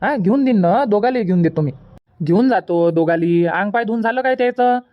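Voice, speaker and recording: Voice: medium pitch, expressive, a little fast, lightly acted. A performer voicing a placating village husband and father in a cartoon comedy, reassuring and repetitive, with a Varhadi Marathi accent. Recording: dull, muffled lo-fi audio.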